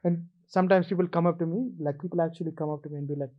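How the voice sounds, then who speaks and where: A man's voice: on the high side, very fast, phone-quality sound. A man with an Indian accent, telling stories in a casual podcast chat and laughing often. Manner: animated storytelling, laughs often. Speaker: guest comedian.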